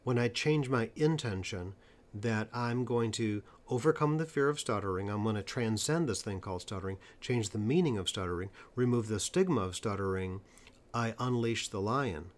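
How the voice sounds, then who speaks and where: A man interviewing a guest in a conversational way, stressing points emphatically and slowing down for them. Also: fairly low pitch. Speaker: interviewer and stuttering coach.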